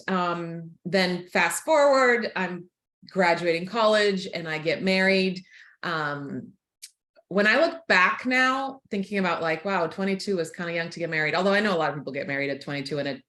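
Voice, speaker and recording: A woman in her forties from Croatia, telling her life story frankly as a podcast guest, laughing easily and going quiet on painful parts. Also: on the low side, expressive, normal speed. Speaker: guest, author and speaker. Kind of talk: candid personal storytelling. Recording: roomy echo.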